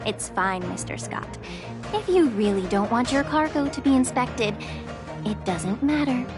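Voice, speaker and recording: A woman playing a poised, slyly sarcastic head healer in a video game, speaking politely with cool, unhurried teasing. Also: a little high, animated, music in the background. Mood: breezy, obliging.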